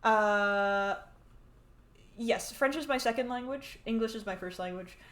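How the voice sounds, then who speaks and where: A woman from Canada, chatting excitably and rambling while she live-streams a game. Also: fairly high pitch, a little slow.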